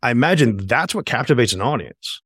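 A man's voice: medium pitch, very animated, brisk, fairly bright tone, breathy edge, dry recording, clean studio sound. A man hosting his podcast, talking enthusiastically and rambling, often tagging sentences with "right?". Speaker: podcast host. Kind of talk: casual podcast interview.

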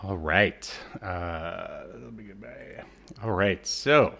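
Male podcast co-host, lower-pitched voice, playful and conversational. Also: slow pace, creaky, pushed and bright. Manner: playful, joking. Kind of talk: casual pop-culture podcast chat.

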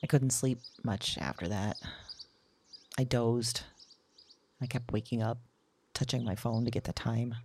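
A woman playing a weary, wry modern woman in an intimate audio drama monologue, speaking in a reflective, halting, confiding way. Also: a bass voice, steady tone, darkish tone, slight background noise. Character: weary, wry, candid modern woman recounting her dreams.

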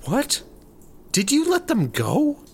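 A woman's voice: sits high, wildly swooping pitch, takes it slowly, darkish tone, breathy edge, full character voice. A woman playing an exasperated, skeptical rancher turned reluctant startup worker in a comedy audio drama. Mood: baffled.

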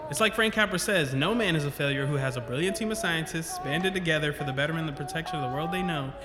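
A man's voice: on the high side, fast talker, over music, close to an everyday voice. A man playing a chatty, friendly scientist in an audio drama, with a casual, joking delivery.

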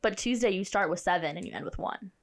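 A woman's voice: fairly low pitch, quick pace. A young woman co-hosting a podcast, chatting casually with lots of "like" and frequent laughter. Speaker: podcast co-host. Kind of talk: casual chat.

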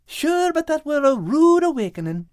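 An excitable tomcat from a children's storybook, timid at heart but comically boastful. The voice is pushed high and bounces all over, in a dry recording. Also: male voice, normal speed, dry recording. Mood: pleased.

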